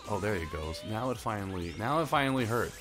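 A man's voice: lowish voice, lively intonation, quick pace, over music. A young man whose first language is Japanese, chatting casually and mocking himself while he plays a puzzle game for his channel. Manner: rambling, self-mocking, chatty. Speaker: gaming channel host. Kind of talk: casual gameplay commentary.

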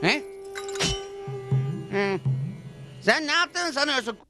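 A man's voice: very high-pitched, expressive, takes it slowly, heavily acted. A man voicing a short-tempered, scheming outlaw gang leader in a dubbed cartoon, bossy and irritable. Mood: alarmed, indignant. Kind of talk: Turkish-dubbed TV cartoon acting. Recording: music behind the voice.

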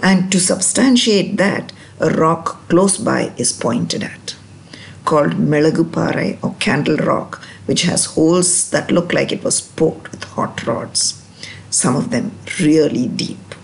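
A woman narrating a travel vlog voice-over in a measured, explanatory way. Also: low voice, lively intonation, gravelly.

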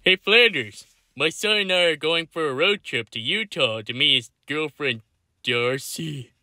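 A man's voice: fairly high pitch, unhurried, bright, forceful tone, no echo. A man voicing a laid-back, beer-loving middle-aged cartoon dad in a casual, offhand way. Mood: easygoing. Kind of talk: fan-made cartoon voice acting.

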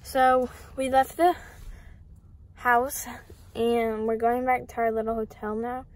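A woman's voice: fairly high pitch, flat delivery, slow pace. A teenage girl chatting casually to her vlog on a phone held at arm's length. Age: teens. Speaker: vlogger. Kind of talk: casual chat. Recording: noisy handheld phone vlog.

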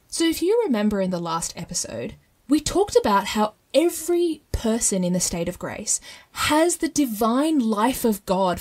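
A woman hosting a podcast, explaining things in a casual, animated storytelling way with frequent rhetorical check-ins. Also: on the high side. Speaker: podcast host.

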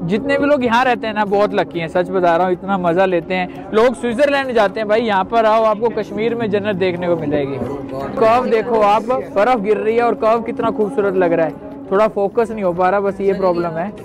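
A young man filming a travel vlog outdoors on a snowy train platform and inside a train, chatting excitedly with his viewers. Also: high voice, a little fast. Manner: excited, chatty, keeps calling viewers brother. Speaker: travel vlogger. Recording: background music mixed under the voice. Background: music.

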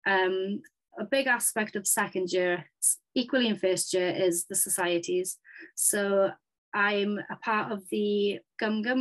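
A woman's voice: medium pitch, rough recording. A young Welsh woman, a native Welsh speaker, talks through her studies in an upbeat, chatty way, with plenty of ums. She speaks into a webcam on a video call. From Wales. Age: 20s. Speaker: physiotherapy student. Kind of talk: presentation over slides.

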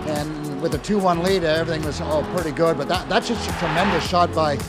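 Older male hockey analyst, raised pitch. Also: a little fast, loud music behind the voice. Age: 60s. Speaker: sports analyst. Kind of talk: conversational post-game hockey analysis. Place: inside a large hockey arena. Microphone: handheld broadcast microphone.

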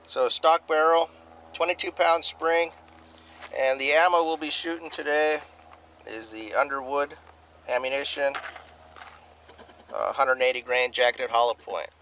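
Halting man filming at a desert range. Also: mid-range voice, fairly even intonation, pushed and bright, slight background noise. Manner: hesitant, many "uh" fillers, plain and matter-of-fact. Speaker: firearms video host. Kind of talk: casual hobby demonstration. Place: outdoors in open desert.

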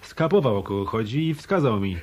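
An actor playing a shrewd hard-boiled detective in a radio drama, speaking in a dry, deliberate, probing way. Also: male voice, mid-range voice, animated, a little mellow, natural voice. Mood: casual. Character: shrewd hard-boiled male hotel detective turned private eye. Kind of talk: Polish radio drama dialogue.

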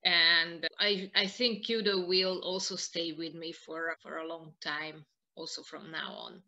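A woman in her thirties with a Hungarian accent, answering interview questions in halting non-native English on a video call. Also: fairly low pitch, level tone, bright, forceful tone, dull audio. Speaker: interview guest, martial arts practitioner. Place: small living room indoors, on a video call.

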